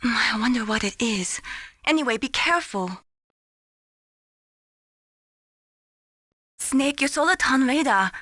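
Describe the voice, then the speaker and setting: Game casting: caring young female radio operator, slightly high and bright, earnest, gently chiding, very dry sound. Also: expressive, moderate pace, no echo. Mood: apologetic, explanatory. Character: caring young Chinese-American woman, mission radio support operator. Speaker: mission support operator. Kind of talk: video game voice acting.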